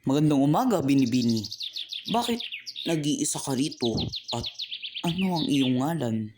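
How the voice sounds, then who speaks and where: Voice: mid-range voice, animated, normal speed, background noise, natural voice. A student narrator voicing a polite, awed young nobleman in a radio play. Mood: hushed. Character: noble young man, admiring and courteous.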